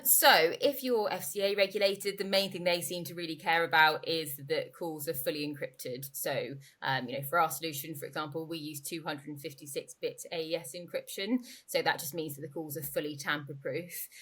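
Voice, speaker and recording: A woman speaks as an expert guest in an interview. Her voice sits a little lower than usual. She explains in an informal, conversational manner, often restating her point. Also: fairly bright tone. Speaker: interviewee, company growth director.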